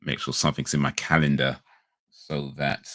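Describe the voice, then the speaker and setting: A man's voice: very deep voice, level tone, moderate pace, a bit bright, no echo, clean studio sound. A man hosting a casual livestream, rambling and correcting himself with laid-back slang, his voice a little crackly today. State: slightly crackly voice. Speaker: livestream host. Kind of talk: casual chat.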